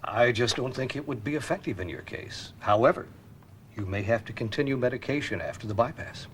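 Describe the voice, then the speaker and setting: A man's voice: medium pitch, lively intonation, fairly quick, close to an everyday voice. A man playing a matter-of-fact, authoritative heart specialist in a medical dramatization. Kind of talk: acted scene in a medical dramatization. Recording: noisy room ambience.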